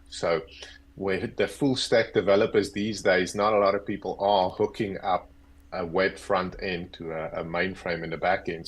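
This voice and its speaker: South african guy in his 50s, low husky voice, laid back and rambling on a video call. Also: rough recording. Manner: easygoing, self-deprecating, rambling. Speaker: guest, agile coach. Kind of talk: casual interview chat. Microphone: earbuds on a video call.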